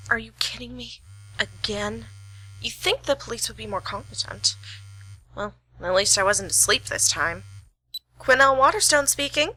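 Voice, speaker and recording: A woman's voice: a little high, lively intonation, normal speed, pushed and bright, poor audio. A woman playing a wry, weary young private detective in an amateur radio play, sardonic and businesslike. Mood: exasperated. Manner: sardonic, exasperated sighs.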